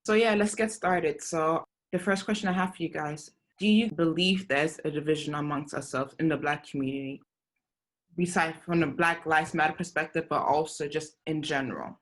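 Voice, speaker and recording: A woman's voice: low-pitched, fairly even intonation, normal speed. A woman hosting a group discussion on a video call, welcoming guests and asking questions. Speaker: host.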